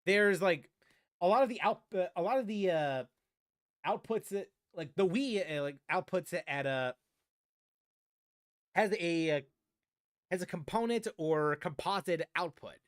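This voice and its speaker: A man's voice: sits high, animated, unhurried, pauses often, dry recording, studio quality. A young man hosting a gaming channel, talking casually and rambling with many fillers and restarts. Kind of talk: casual unscripted vlog talk.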